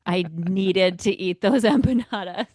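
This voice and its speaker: A woman's voice: mid-range voice, measured pace, a little mellow, no room sound, clean studio sound. A woman co-hosting a podcast, chatting casually and giving her opinions with emphasis. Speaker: podcast co-host. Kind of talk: casual chat.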